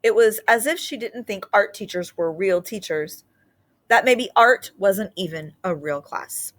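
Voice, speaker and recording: A woman's voice: medium pitch, lively intonation, measured pace. Female storybook reader with a mid-range voice for narrating a children's story aloud.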